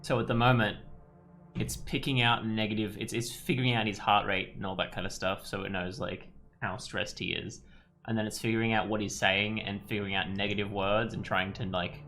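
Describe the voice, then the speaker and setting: Low-voiced man musing over gameplay. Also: little pitch movement, fairly quick, pushed and bright. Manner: musing, thinking aloud in loose phrases. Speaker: let's play streamer. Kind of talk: casual gameplay commentary. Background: music.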